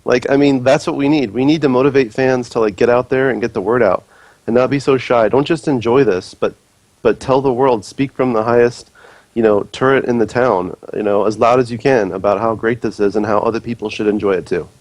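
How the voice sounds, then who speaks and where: A man's voice: mid-range voice, a little fast, darkish tone, no echo, low-quality recording. A man speaking earnestly and persuasively on a casual podcast, with many fillers. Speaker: podcast guest, league executive.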